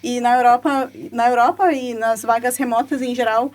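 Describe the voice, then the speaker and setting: A woman with a Brazilian accent speaking lively on a casual panel. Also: fairly high pitch, moderate pace, far from the mic. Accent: Brazilian Portuguese. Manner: lively, laughing easily.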